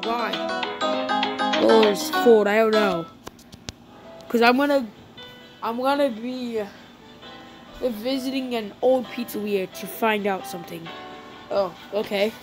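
A woman chatting in character during a casual game roleplay, breaking into excited exclamations. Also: a little high, slow, long pauses. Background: loud game music.

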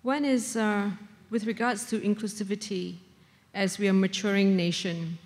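A woman's voice: medium pitch, darkish tone. A middle-aged woman making prepared, earnest points from the floor at a conference. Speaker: audience questioner, social service worker. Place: large conference ballroom. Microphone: standing floor microphone. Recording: long hall reverb from conference PA.

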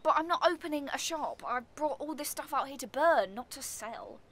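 A woman's voice: sits high, expressive, normal speed, very noisy. A woman playing an exasperated, dramatic character in a radio comedy play, earnest and emphatic. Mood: defiant. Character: exasperated, dramatic woman determined on fresh start.

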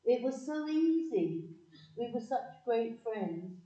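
Woman as older reminiscing narrator, soft dark tone, audio play, distant mic. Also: on the high side, sing-song, takes it slowly. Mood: nostalgic. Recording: echoey, muffled, distant microphone.

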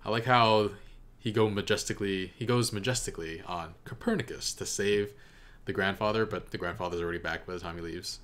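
A man's voice: low voice, a little fast, fairly bright tone. A young man giving casual, off-the-cuff reaction commentary as a video host. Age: young adult. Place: small room indoors. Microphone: desk condenser microphone.

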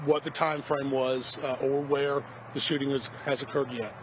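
A middle-aged man, a fire department spokesman, giving a halting outdoor press briefing, stumbling and repeating words when unsure. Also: medium pitch, little pitch movement. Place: outdoors by a parking lot. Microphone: news camera mic, close. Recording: outdoor street noise.

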